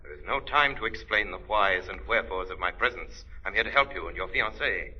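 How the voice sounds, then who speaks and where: A man's voice: low voice, animated, a little fast, slightly rough. A man playing a mysterious, commanding unseen avenger in a dramatic, ominous 1930s radio style. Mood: urgent, commanding. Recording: muffled old 1930s radio recording.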